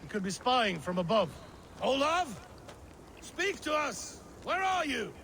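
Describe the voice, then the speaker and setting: A volatile Viking chieftain in a game cutscene. His gravelly voice leaps wildly from low mutters to high shouts. Heavy outdoor ambience sits under the game audio. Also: big pitch swings, slow pace, slight background noise, heavily acted. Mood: wary, erratic. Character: unstable grieving Viking chieftain hunting traitors. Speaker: Viking leader. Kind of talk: video game cutscene acting. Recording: game audio over loud swamp ambience.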